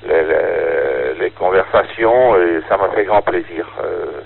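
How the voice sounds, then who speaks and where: A man chatting casually as an amateur radio operator, haltingly searching for words, over a narrow radio link. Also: sits low, animated, gravelly, breathy edge. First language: French. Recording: tinny band-limited ham radio transmission.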